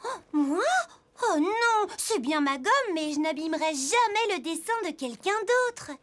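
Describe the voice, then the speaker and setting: A woman's voice: extremely high pitch, lively intonation, unhurried, fairly bright tone, exaggerated voice. A woman playing a friendly, innocent female toy dinosaur who exclaims expressively in a children's cartoon. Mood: shocked, innocent.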